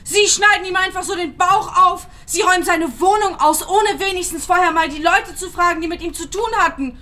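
A woman's voice: very high-pitched, a little fast, pushed and bright. An actress playing a guarded, defiant young woman in a naturalistic crime drama, speaking with emotion. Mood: indignant, angry. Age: young adult.

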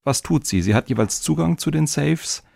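A man's voice: fairly high pitch, animated, normal speed, creaky, darkish tone, dry recording, studio quality. A man hosting a podcast discussion, talking conversationally and putting questions to his guests. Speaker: podcast host.

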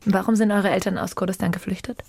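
A woman's voice: medium pitch, steady tone, fast talker, vocal fry, a little mellow, husky, no room sound, studio quality. A woman interviewer asking probing questions and rephrasing them as she goes.

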